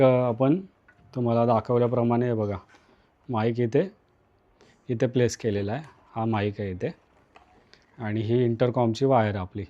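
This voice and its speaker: A man's voice: mid-range voice, a little mellow, muffled recording. A man with an Indian accent from Maharashtra gives a casual hands-on tutorial, talking to viewers in short, repeated instructional phrases. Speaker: vlogger, tutorial host.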